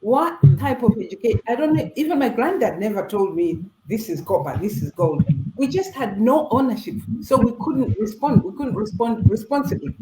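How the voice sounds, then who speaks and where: An older woman from Zambia and Zimbabwe leads a panel discussion, reflective and anecdotal as she hands the floor to her guests. Her voice sits in a roomy, echoey space, with a steady noise bed under it. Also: a little high. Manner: reflective, storytelling, inviting guests in. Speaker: talk show moderator.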